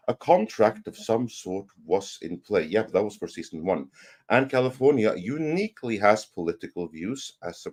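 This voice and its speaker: Guy w a lowish voice hosting a stream, reads chat out loud kinda haltingly, casual. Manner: halting, reads messages aloud then comments. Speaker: panel host reading out viewer messages. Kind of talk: casual livestream panel talk.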